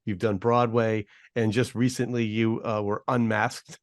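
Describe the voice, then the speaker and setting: A man's voice: on the low side, little pitch movement, measured pace. A man who hosts a radio station's interview, asking chatty questions with some hesitation. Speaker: radio host. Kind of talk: casual interview over video call.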